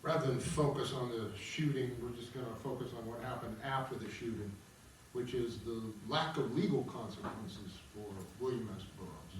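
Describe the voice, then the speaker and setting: A man's voice: medium pitch, normal speed, a little hiss or hum. A man reading stage directions aloud as the narrator of a musical table read. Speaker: narrator and singer of a self-written opera. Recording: distant, very echoey room with music.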